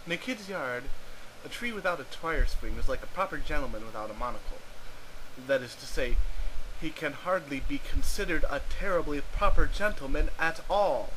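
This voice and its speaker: A man's voice: a little high, noisy background. A man reading scripted narration in a wry, theatrical storytelling way on an amateur home recording. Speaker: narrator reading a webcomic aloud.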